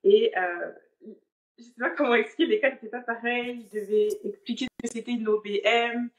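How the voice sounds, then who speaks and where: A woman's voice: a little high, fairly even intonation, moderate pace. French woman in an echoey room. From France. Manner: thoughtful, many fillers. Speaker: guest, online business manager. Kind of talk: casual interview answers. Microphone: video call.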